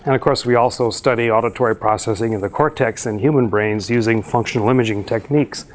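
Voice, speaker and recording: A middle-aged man, a university professor, giving a slide presentation to an audience in a measured, explanatory way with frequent filler pauses. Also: mid-range voice. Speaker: university professor presenting a research exchange program.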